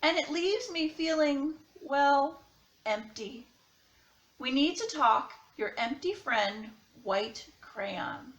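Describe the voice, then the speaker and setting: A woman reads as a forlorn storybook crayon that feels invisible. The plaintive voice is high and unhurried, recorded with noticeable room echo. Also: animated, a bit bright, muffled recording, heavily acted. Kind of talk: children's picture-book read-aloud. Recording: roomy, echoing sound.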